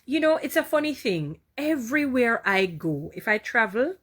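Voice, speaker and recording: A Jamaican woman hosting a casual inspirational live talk, chatting warmly, repeating herself and answering viewer comments. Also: fairly high pitch, big pitch swings, moderate pace. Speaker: live-stream host.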